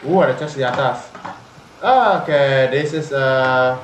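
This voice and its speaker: A man's voice: medium pitch, slow pace, slight background noise. A man with an Indonesian accent giving casual live gameplay commentary as a streamer. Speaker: game streamer. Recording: echoey room over game music. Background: game music.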